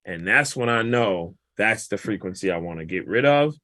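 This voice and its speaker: A man, a guest mix engineer, casually walking through a vocal mixing session in a relaxed, explanatory, colloquial way. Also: on the low side, normal speed, no room sound.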